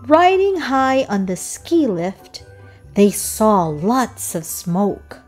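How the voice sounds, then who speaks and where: A woman's voice: medium pitch, very animated, very slow, darkish tone. A woman narrating a children's read-aloud, reading rhythmic verse expressively. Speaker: storybook narrator. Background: music.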